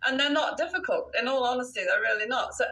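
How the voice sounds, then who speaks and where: A woman's voice: sits high, quick pace, sharp tone, echoey room. An older woman from Wales with an English-sounding British accent, a real estate broker answering interview questions in a self-assured, chatty way and laughing often.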